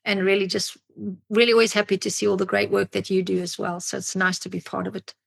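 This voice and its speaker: A woman, who grew up in Africa, talks as a podcast guest and tells stories in a thoughtful way, often pausing to find her words. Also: medium pitch, little pitch movement, vocal fry. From Zimbabwe, grew up in South Africa. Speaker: podcast guest, psychologist and drummer. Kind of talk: reflective interview storytelling.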